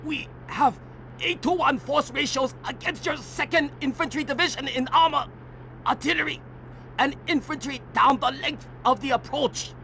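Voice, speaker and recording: High-pitched, forceful, bitter army colonel. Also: male voice, normal speed, slight background noise, full character voice, poor audio. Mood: bitter, defiant. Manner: forceful, contemptuous, barking. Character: bitter, commanding male army colonel, enemy of the Americans. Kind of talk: video game voice acting.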